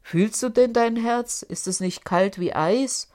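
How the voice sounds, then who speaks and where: A woman booming as a scornful giant. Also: slow pace, darkish tone. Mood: insistent. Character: boastful greedy male giant, mocking and rough. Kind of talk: audiobook character voice.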